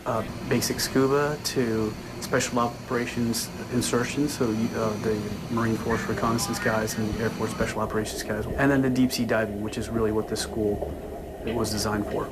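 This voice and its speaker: Earnest man with a flat voice. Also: on the low side, very noisy. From Vietnam. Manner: earnest, halting, with frequent restarts and fillers. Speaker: naval commander interviewed. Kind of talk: news interview.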